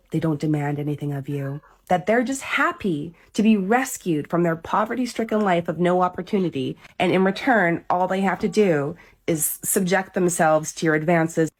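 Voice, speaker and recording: A woman commenting in a clip in a sarcastic, probing way. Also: fairly low pitch.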